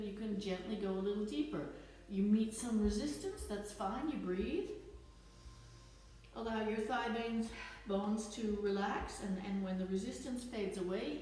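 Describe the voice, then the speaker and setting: A woman fitness instructor with a slight Dutch accent, leading a guided stretching class with gentle, encouraging cues. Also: mid-range voice, recorded from a distance, slight background noise. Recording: echoey room, voice off-mic.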